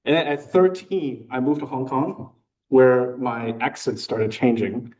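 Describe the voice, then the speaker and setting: A young American man giving a casual personal talk with slides to a club meeting, relaxed and chatty with frequent fillers. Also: mid-range voice, measured pace, far from the mic, slight background noise, poor audio. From United States. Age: young adult. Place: meeting room.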